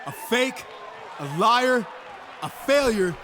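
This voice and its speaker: A man's voice: very high-pitched, lively intonation, takes it slowly, full character voice. An actor playing a hostile male wrestler in a video game, delivering a taunting, projected promo speech. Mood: scornful, accusing. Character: hostile wrestler calling out his rival in the ring. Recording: loud arena crowd noise.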